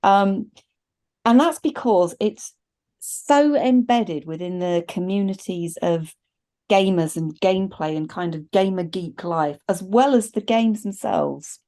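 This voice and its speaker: A middle-aged woman with a soft, mellow tone speaks as a reviewer in a relaxed panel chat. She sounds enthusiastic and keeps it conversational, recorded indoors over a video call. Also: mid-range voice, measured pace.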